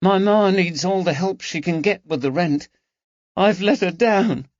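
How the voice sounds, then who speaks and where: A man's voice: fairly high pitch, lively intonation, moderate pace, no room sound, pitched above the performer's own voice, close to an everyday voice. A man voicing a timid, hesitant nineteen-year-old lady's maid who speaks in plain working-class talk. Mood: anxious. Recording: dull, dry audiobook recording.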